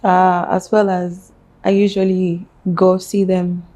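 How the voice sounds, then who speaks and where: Even, measured South Sudanese woman, lower-pitched. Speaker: interview guest, businesswoman. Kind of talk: interview, personal life story.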